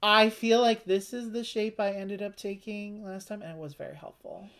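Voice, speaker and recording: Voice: medium pitch, steady tone, moderate pace, a bit bright. A co-host of a board game playthrough, talking casually through rules, choices and story.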